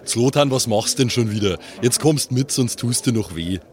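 A man's voice: mid-range voice, expressive, normal speed, darkish tone, close to an everyday voice. A man playing a bossy, self-assured circus strongman in colloquial speech, in an improvised audio role-play. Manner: colloquial, blunt. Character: brash, bossy circus strongman, proud of himself.

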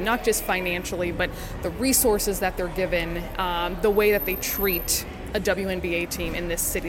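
A woman's voice: on the low side, background noise. A woman sports broadcaster giving thoughtful, articulate interview answers in an earnest way.

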